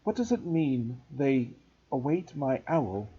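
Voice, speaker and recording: A man's voice: mid-range voice, big pitch swings, takes it slowly, dark tone, slightly rough, full character voice. A man voicing a bewildered young boy who asks hesitant questions. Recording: dull lo-fi recording.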